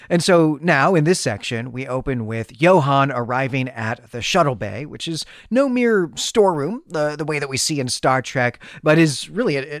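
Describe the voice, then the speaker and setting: A man hosts a podcast, talking through a story recap in a conversational way. His voice is mid-pitched and recorded close and dry, with no room sound. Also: fairly bright tone, no echo. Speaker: podcast host.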